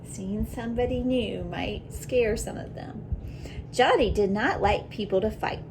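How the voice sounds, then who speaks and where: A woman reading a children's picture book aloud like a teacher, in a deliberate way, pausing to reflect and ask questions. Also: medium pitch, vocal fry, noisy background.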